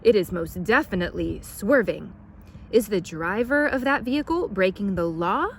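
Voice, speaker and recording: A woman plays a stern male police officer from a children's storybook. He speaks in an official, deliberate way with big emphatic swings in pitch. There is faint noise in the recording. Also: expressive, close to an everyday voice. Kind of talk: children's storybook read aloud.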